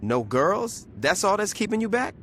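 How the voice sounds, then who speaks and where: Cocky, animated young gang boss. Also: male voice, high-pitched, normal speed, faint music behind. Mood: insistent, upbeat. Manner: casual swearing street slang. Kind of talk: video game cutscene acting.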